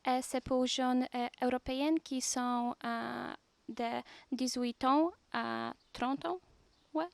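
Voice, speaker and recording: A young woman from Poland with a Polish accent, a volunteer speaking French hesitantly with many pauses. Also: high-pitched, fairly even intonation, vocal fry, clean tone, dry recording. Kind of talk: interview testimony.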